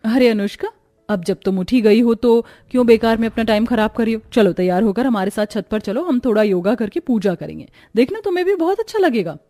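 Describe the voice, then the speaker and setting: A woman's voice: on the high side, expressive, brisk, mellow, close to an everyday voice. A woman voicing a reasonable, dutiful elder sister-in-law in a cartoon story, speaking earnestly. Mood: coaxing. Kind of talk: Hindi cartoon story dialogue.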